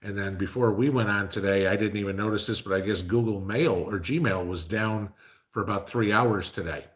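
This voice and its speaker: A man's voice: fairly low pitch, normal speed. A man hosting a casual live stream, reading viewer comments and answering them in a rambling, conversational way full of fillers. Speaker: livestream host reading chat.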